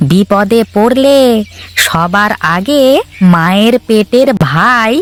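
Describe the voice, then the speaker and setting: A man playing a wise, stern old father in a village cartoon, moralizing in a drawn-out way. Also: high-pitched, expressive, a bit bright, heavily acted. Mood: solemn, wise. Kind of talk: cartoon voice acting.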